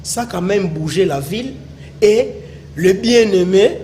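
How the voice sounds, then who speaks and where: A man hosting a television interview, animated, switching between French and Lingala. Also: high-pitched, measured pace, a little hiss or hum. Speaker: TV host.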